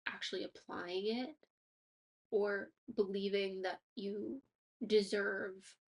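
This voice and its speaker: A woman's voice: medium pitch, little pitch movement, takes it slowly. Stuffy nasal woman doing her podcast, upbeat and encouraging, lots of likes and ums. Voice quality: slightly nasal. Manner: upbeat, motivational, with casual fillers. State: stuffy, congested from allergies. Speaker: podcast host. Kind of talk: scripted podcast monologue.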